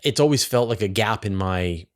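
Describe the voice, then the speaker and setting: A man's voice: fairly low pitch, expressive, normal speed. A man hosting a conversational interview, informal and thinking aloud as he rephrases. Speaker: interview host, space journalist.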